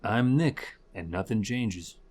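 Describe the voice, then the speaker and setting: A man playing an eerily calm, cryptic stranger in a supernatural audio drama, speaking tersely with a deep, husky voice. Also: slow pace, no echo. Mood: calm, coaxing. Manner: terse, unruffled, cryptic delivery. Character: eerily calm, cryptic male stranger behind store counter.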